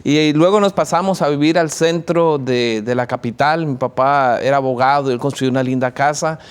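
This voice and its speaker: A man from Costa Rica, a theologian guest in an interview, speaking in measured answers with frequent hesitations. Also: medium pitch, clear, resonant tone. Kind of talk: interview answers, recounting his life.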